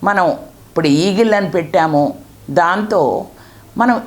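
A woman's voice: mid-range voice, moderate pace. A woman speaks off the cuff as an interviewee, mixing in English words. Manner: mixes English words into speech. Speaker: interviewee commenting on drug control. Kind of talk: spontaneous interview remarks.

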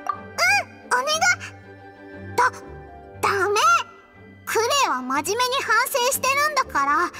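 A woman voicing an innocent, excitable very young girl with childish, halting speech in an anime video game. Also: very high voice, full character voice. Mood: earnest, proud. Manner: childish, halting, refers to self by name. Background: children's background music.

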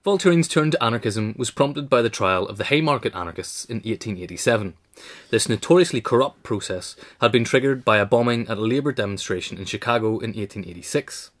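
Man narrating an essay, lowish voice. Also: fairly quick. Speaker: narrator, reader. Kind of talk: scripted narration of a biographical essay.

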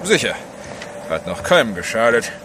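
An actor playing a nosy, suspicious sailor with a casual, probing way of talking in an audio drama. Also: male voice, mid-range voice, big pitch swings, moderate pace, background noise, close to an everyday voice. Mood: falsely friendly.

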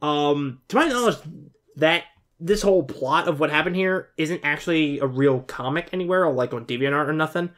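A man's voice: a little high, normal speed, a bit bright. A man in his twenties giving casual, rambling, informal off-the-cuff commentary as a reaction video host. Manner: rambling, informal, mild swearing. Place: bedroom, indoors.

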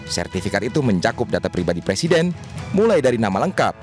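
Lively male news voice-over with music. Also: lowish voice, fast talker. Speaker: news reporter. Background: news background music.

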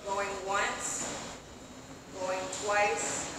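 Young woman reading legal stuff out loud, formal and even, far from the camera, loud hiss behind. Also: medium pitch. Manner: formal, measured, reads from papers. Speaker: foreclosure auction commissioner. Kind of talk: reading legal auction terms aloud. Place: outdoor covered plaza of a building. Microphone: far camera on a tripod. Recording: echoey distant outdoor camera audio.

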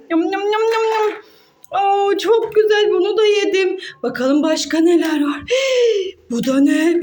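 A woman voicing a gluttonous, excitable toy character in a children's pretend-play skit, exclaiming and gasping melodramatically as it talks to itself. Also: very high-pitched. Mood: greedy delight. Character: gluttonous excitable toy character sniffing out hamburgers, scheming cook.

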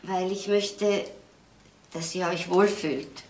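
A woman's voice: on the low side, fairly even intonation, takes it slowly, echoey room, slight background noise. An actress playing an earnest, wistful widowed mother of grown sons, hesitant and imploring, in a naturalistic crime drama. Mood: earnest, hopeful.

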